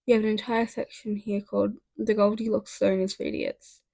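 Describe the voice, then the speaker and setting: A woman voicing an authoritative, brisk businesswoman in her forties in a storybook reading, matter-of-fact in manner. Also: mid-range voice, little pitch movement, creaky, dark tone, no echo. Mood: probing. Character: authoritative mid-forties European businesswoman, brisk task force official.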